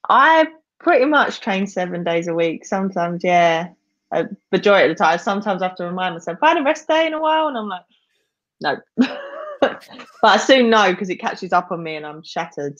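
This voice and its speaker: A young woman with a Southeast London accent chats casually as an interview guest. She sounds upbeat and tends to drop off quietly at the ends of lines. Her voice comes through a dull, lo-fi video-call connection. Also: lowish voice, expressive, fairly quick. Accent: Southeast London English. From England. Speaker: guest, wrestler. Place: small room indoors.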